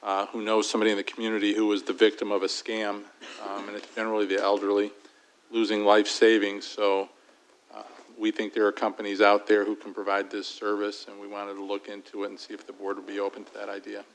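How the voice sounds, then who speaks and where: A man's voice: on the low side, completely flat delivery, quick pace, slight background noise, rough recording. A man serving as township treasurer, explaining a proposal at a board meeting in a plain way.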